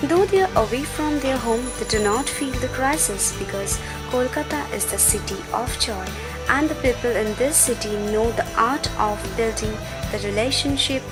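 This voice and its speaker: A woman narrating a documentary and asking interview questions in a measured, formal way. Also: fairly high pitch. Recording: loud background music.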